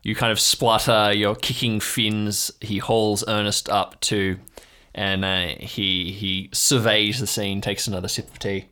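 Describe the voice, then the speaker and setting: A man's voice: on the low side, little pitch movement, unhurried, bright, forceful tone, clean tone. A man hosting and narrating an improvised audio drama in an informal way. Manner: informal, joking. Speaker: host and narrator.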